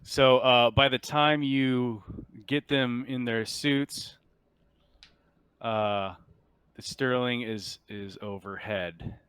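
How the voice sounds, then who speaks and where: A man acting as game master for a tabletop roleplaying game, talking casually and a little haltingly. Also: medium pitch, steady tone, a bit bright, clean tone, dry recording.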